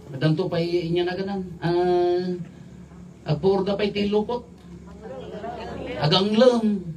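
A middle-aged man giving an informal homily as a priest, conversational and jokey, in halting phrases with rhetorical questions. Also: sits high, fairly even intonation, darkish tone, slight background noise. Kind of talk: informal homily mixing local language with English terms. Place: outdoors at night at a makeshift altar. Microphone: microphone on a stand, filmed from afar. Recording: distant, slightly off-mic capture.

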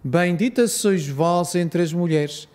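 A man with a European Portuguese accent leading a rosary, reciting solemn prayers in a measured, reverent way. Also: sits high, a little mellow.

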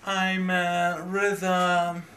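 Young man, Persian accent, very slow and hesitant, recorded from a distance with faint noise. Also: sits high, monotone, bright, forceful tone. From Iran. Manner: halting, many pauses and fillers. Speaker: vlogger. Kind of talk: hesitant self-introduction. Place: home in Tehran.

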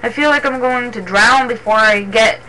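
A man's voice: extremely high pitch, moderate pace, pushed and bright, a little hiss or hum, poor audio. A man voicing an anxious, bedraggled young male apprentice cat in a storybook, spluttering and gasping. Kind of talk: dramatic storybook character reading.

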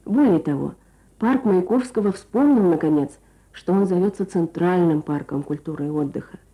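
A woman's voice: fairly low pitch, animated, moderate pace, warm tone, rough recording. A woman presenting a scripted Soviet radio programme, reading rhetorically.

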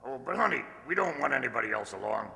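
Older man playing a gravelly, sharp-voiced wisecracking valet. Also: medium pitch, sing-song, quick pace, heavily acted. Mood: sly. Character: wisecracking male household valet, easygoing and flirtatious. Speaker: amateur radio-play actor. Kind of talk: old-time radio comedy acting. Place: large indoor stage hall, stand mic. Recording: reverberant hall, stage microphone.

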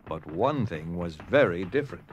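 A man's voice: low-pitched, expressive, moderate pace, background noise. A man narrating a scripted story dramatically in an audio drama.

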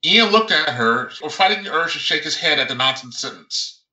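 A man's voice: on the high side, animated, moderate pace, pushed and bright. A man, an author reading his own science fiction novel aloud as dramatic narration, stumbling over a word now and then. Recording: roomy, echoing sound.

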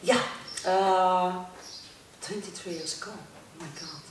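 Woman, Polish accent, halting repeated words, roomy workshop echo, distant mic, background hiss. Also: lowish voice, fairly even intonation. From Poland. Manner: halting second-language English, repeats words. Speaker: interview guest, car detailer. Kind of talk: casual interview answers. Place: large workshop garage, indoors. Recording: echoey garage from far off, noisy.

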